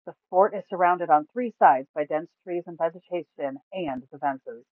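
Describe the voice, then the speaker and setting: A woman storyteller reading scripted fantasy narration aloud in a measured, dramatic way. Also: lowish voice, dry recording. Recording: narrow, phone-like sound.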